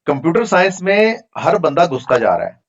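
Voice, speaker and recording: A man's voice: on the high side, sing-song, moderate pace, lo-fi sound. A man teaching an online class, answering students' questions in a frank, explanatory, conversational way over a video call. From Pakistan. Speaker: instructor.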